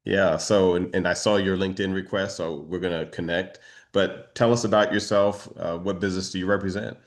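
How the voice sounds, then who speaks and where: A man hosting a business networking video call, talking casually with halting pauses between phrases. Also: low-pitched, fairly even intonation. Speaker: meetup host and moderator. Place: online video meeting.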